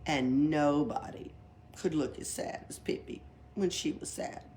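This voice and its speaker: A woman's voice: low voice, a little slow, a little mellow. A woman reading a children's storybook aloud expressively. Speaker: library read-aloud reader. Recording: background noise, library read-aloud.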